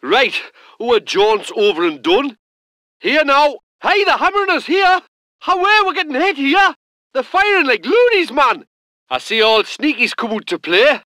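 A man voicing a gruff, salty self-propelled gun crewman, delivering game unit lines. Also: very high voice, sing-song, a little slow, bright, forceful tone, dry recording, full character voice. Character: gruff northern British male gun crewman. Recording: dry close studio recording.

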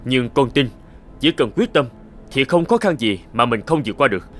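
A man playing an earnest, determined young immortal god in an animated myth, solemn and resolute. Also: mid-range voice, normal speed.

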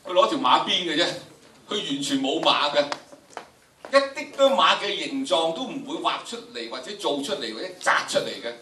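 A man's voice: fairly high pitch, very animated, moderate pace, pushed and bright. An older man with a Hong Kong Cantonese accent, teaching a class with theatrical, emphatic delivery and repeated phrases. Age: older, about 70s. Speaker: drama teacher, lecturer. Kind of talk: animated classroom lecture to students. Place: large indoor lecture room with audience. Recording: echoey lecture room, distant, noisy.

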